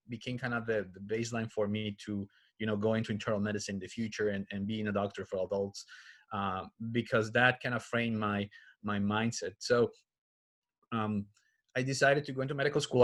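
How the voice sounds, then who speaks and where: A man from Colombia with a Colombian accent and Spanish as his first language, a doctor telling personal stories in a casual, conversational interview style. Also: medium pitch. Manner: conversational, informal. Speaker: oncologist being interviewed.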